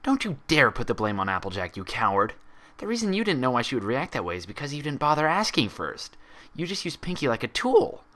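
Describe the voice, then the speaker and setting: A man's voice: mid-range voice, sing-song, fairly quick, fairly bright tone, voice pushed up. A man voicing an anguished young female pony in a fan story, scolding in an accusatory, emotional, emphatic way. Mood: accusing.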